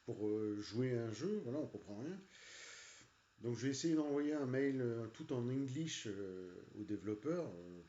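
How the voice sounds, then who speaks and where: An irritated man rambles along in casual, halting speech, stuffing his sentences with fillers and false starts. His voice is dark and mellow. It sounds dull and lo-fi, and it echoes around a hollow room. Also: medium pitch, lively intonation. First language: French. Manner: hesitant, many "euh" and "voilà", annoyed. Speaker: video game reviewer. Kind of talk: casual rant while playing a game.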